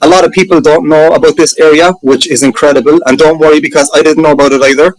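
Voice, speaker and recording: Irish man, keen presenter, fairly high voice, close dry sound, casual pitch to a group. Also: fairly even intonation, rapid-fire, no room sound, rough recording. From Ireland. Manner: enthusiastic, chatty, self-correcting. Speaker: booking manager presenting his sailing resort. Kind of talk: casual presentation on a video call.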